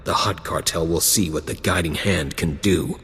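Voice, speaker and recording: An actor playing a gruff, blunt gang lieutenant recruiting for a criminal crew in a video game. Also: male voice, deep voice, normal speed, fairly bright tone, long reverb tail, a little hiss or hum. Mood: commanding. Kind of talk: video game dialogue acting.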